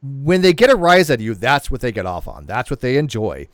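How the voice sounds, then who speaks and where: A man's voice: medium pitch, huge pitch swings, fairly quick, no room sound. A man in his late forties hosts a loose livestream conversation. His voice rises and falls a lot, and he rambles and restarts his sentences. The sound is dry and close, with no room around it. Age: 40s. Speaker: host. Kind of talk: casual livestream chat.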